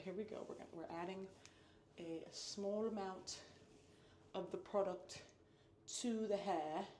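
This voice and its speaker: Woman, hair-care vlogger, low register; casual and upbeat, repeats words for emphasis; echoey home room. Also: darkish tone, a little hiss or hum. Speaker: natural hair YouTuber. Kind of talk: casual product review vlog.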